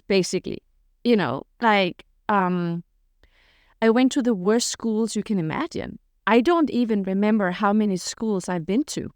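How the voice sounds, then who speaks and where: A woman's voice: medium pitch, clean tone, no room sound, clean studio sound. A middle-aged woman from Denmark, talking frankly and openly as a podcast guest about her past. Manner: frank, open, lively storytelling with frequent "you know". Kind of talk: candid interview storytelling.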